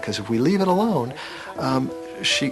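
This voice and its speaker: A man speaking in a documentary interview, thoughtful and pausing with fillers as he explains. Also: medium pitch, very animated, rough recording. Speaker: interviewed educator. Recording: music underneath.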